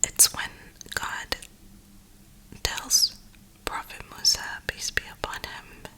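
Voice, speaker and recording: Slow, whispering woman in ASMR style. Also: bright, forceful tone. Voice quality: breathy, unvoiced whisper. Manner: reflective, unhurried, with drawn-out pauses. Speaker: ASMR video creator.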